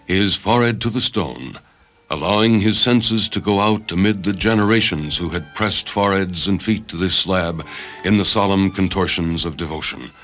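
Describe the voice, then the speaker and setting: A man narrates a dramatic short story in a low voice. His scripted delivery is measured and literary. Music runs softly behind him.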